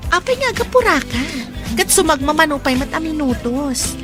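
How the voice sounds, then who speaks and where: A woman playing a chatty, excitable young woman at an event in a radio drama. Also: high voice, normal speed, music in the background.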